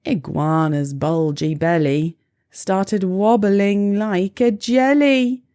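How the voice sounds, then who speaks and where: A woman's voice: mid-range voice, slow, warm tone, clean tone. A woman reading a rhyming children's storybook aloud in a playful, theatrical way. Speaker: storybook reader.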